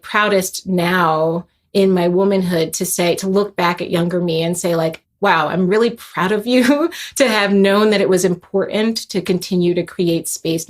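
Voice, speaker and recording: A woman's voice: lowish voice, steady tone, moderate pace. A young adult woman, a composer guest, speaking thoughtfully with many fillers and restarts. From Haitian heritage. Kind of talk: reflective interview talk.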